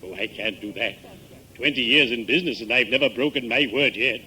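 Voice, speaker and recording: An actor playing a pompous, morbidly genial middle-aged undertaker with genteel, mock-solemn diction in an old-time radio sitcom. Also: male voice, mid-range voice, a little fast, sharp tone. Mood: solemn, polite. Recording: noisy vintage 1950s radio broadcast.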